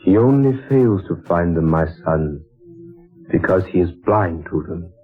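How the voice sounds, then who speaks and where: An actor playing a patient, wise older stranger who speaks in slow, grave, measured pronouncements, in a 1940s radio drama. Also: male voice, sits low, lively intonation, soft background music. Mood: solemn. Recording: narrow tinny old radio broadcast.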